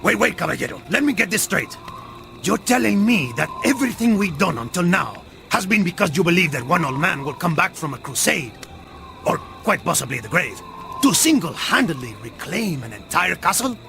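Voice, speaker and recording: An actor playing a wry, exasperated Spanish mercenary in a video game, with a Spanish accent and a sardonic manner. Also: male voice, high-pitched, brisk, gravelly, exaggerated voice. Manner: sardonic, animated, peppers talk with Spanish words. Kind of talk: video game cutscene acting. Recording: loud music behind the voice.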